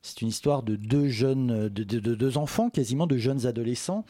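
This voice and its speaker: A man's voice: mid-range voice, expressive, moderate pace, warm tone, no echo, studio quality. A man interviewing an author in a casual way, often stumbling and repeating words as they go. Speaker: literary interviewer.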